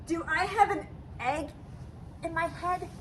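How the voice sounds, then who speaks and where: Woman playing a very high, dramatic, fretful elephant. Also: measured pace, a bit bright, slight background noise. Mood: anxious disbelief. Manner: dramatic, exclaiming, swinging from fretful to outraged. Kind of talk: animated children's picture book read-aloud.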